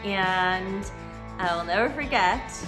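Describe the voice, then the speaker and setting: A woman's voice: medium pitch, takes it slowly, over music. A middle-aged American woman talking conversationally in a personal storytelling vlog, earnest and reflective, with emphasis on key words. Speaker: vlogger telling her own story.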